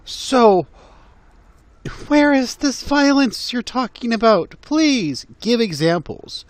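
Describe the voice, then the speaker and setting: A man's voice: very high-pitched, very animated, slow. A man giving exasperated, mocking reaction commentary on a video, laughing through his remarks.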